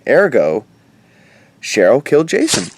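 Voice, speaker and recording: A man's voice: mid-range voice, wildly swooping pitch, a little slow, gravelly, a little hiss or hum, exaggerated voice. An actor playing a wry, skeptical teenage boy who talks in casual, sardonic slang in an audio drama. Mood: insistent. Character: wry, pop-culture-quoting skeptical teenage boy sidekick. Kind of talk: conversational audio drama acting.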